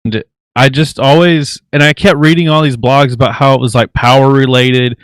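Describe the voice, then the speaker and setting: A man with a smooth, clean voice co-hosts a tech podcast. His pitch sits in the middle and the sound is dry, with an easy conversational style. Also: fairly even intonation, normal speed, no echo. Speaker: podcast co-host. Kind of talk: casual tech chat.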